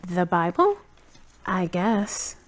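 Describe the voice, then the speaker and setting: A woman's voice: on the low side, very animated, takes it slowly. A woman playing a kind, skeptical, teasing friend in an amateur audio drama, candid and wry.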